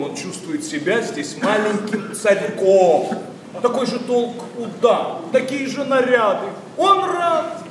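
A disillusioned young aristocrat railing at society in theatrical verse. His voice is high and declamatory, edged with sarcasm. It is heard at a distance in a reverberant hall, through strong noise. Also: full character voice. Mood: bitter irony. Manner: declamatory, sarcastic, mimics people he mocks. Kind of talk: theatrical verse monologue, radio play. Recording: noisy, echoing theatre hall from afar.

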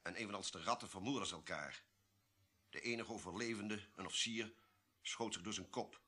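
An actor playing an authoritative, unsentimental government official in a Dutch radio drama, explaining grim secrets emphatically. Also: male voice, on the low side, fairly quick, pushed and bright, husky. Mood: grim, emphatic.

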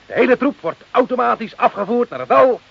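A man's voice: very high voice, lively intonation, a little fast, vocal fry, breathy edge, exaggerated voice. An actor playing a defiant, scheming working man in a radio play, boastful, mocking and emphatic. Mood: cheerful, cocky. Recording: muffled, hissy old radio recording.

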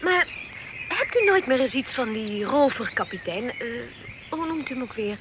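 An actress playing a bossy lady who hushes her husband, in an old radio play with a loud hiss. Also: high voice, big pitch swings, normal speed. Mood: curious. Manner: bossy, impatient.

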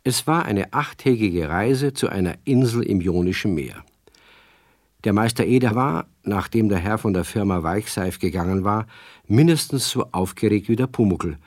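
A man narrating a children's radio play as a measured, expressive storyteller reading a script. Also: on the low side, no room sound, clean studio sound.

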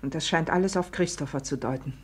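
An actress playing an anxious, protective wife in a 1950s radio play, earnest and insistent. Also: female voice, low-pitched, quick pace, breathy edge. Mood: worried, insistent.

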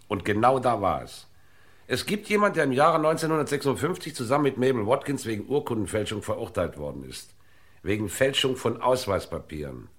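A shrewd clipped police inspector, old 60s radio crime play, really animated delivery. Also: male voice, mid-range voice. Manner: clipped, authoritative, interrogating. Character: brisk, shrewd, commanding male police inspector. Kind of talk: 1960s German radio crime acting.

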